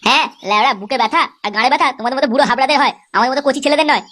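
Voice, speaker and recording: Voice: high voice, rapid-fire, background noise, close to an everyday voice. A swaggering young cartoon lad, voiced by a performer. He brags and swears at a tremendous speed, brash and ready for a fight. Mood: belligerent. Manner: brash, boastful. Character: cocky foul-mouthed young village lad, boastful drinker. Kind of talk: comic cartoon dialogue.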